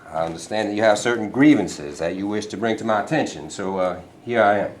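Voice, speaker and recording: A man's voice: on the low side, fairly quick, close to an everyday voice. A man playing a sly, self-assured state governor in a staged reading. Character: smug scheming male state governor. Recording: echoey room, read from far off, noisy.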